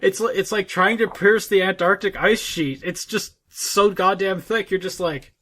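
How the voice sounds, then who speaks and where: A man with a noticeably high voice talks as co-host of a gaming discussion. His delivery is informal and conversational, circling back over his own phrases as he argues. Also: moderate pace, bright, forceful tone. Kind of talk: casual chat.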